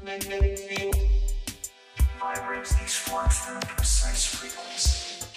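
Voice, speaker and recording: Slow vocoded robotic voice, heavy echo. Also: medium pitch, monotone. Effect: vocoder, robotic synth chords. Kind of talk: vocoded speech sample over music. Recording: heavily echoing, music mixed in.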